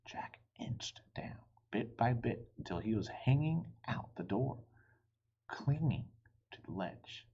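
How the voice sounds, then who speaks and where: A husky-voiced man narrates a children's adventure story. His delivery is unhurried, very animated and full of suspense, on a slightly dull recording. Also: mid-range voice, in a whisper. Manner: dramatic, suspenseful. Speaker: storybook reader. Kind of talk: expressive children's read-aloud narration.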